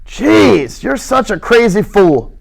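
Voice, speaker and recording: A man voicing a gruff, short-tempered drifter. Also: high voice, sing-song, slow, voice pushed up, full character voice. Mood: gruff, scolding. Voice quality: irritable, scolding. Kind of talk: audiobook character reading.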